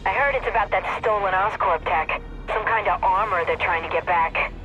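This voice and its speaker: Chatty game cop, high sharp voice, muffled lo-fi sound. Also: male voice, normal speed. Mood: matter-of-fact. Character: gossiping male cop on duty. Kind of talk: video game voice acting. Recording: dull lo-fi audio, faint music.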